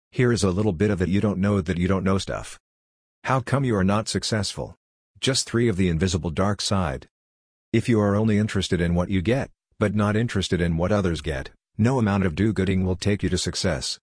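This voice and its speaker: A man's voice: deep voice, a little mellow, slightly rough, no room sound, studio quality. An older man from Hungary, a native Hungarian speaker, giving a blunt, lecturing monologue as a workshop leader. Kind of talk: scripted monologue.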